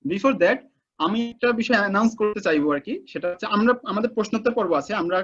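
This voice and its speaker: A man's voice: high voice, fairly bright tone, breathy edge. A man with a Bangladeshi accent moderating an online session, polite and announcing the next part. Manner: rapid, polite, switches to announcing. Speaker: webinar moderator. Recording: dull lo-fi call audio.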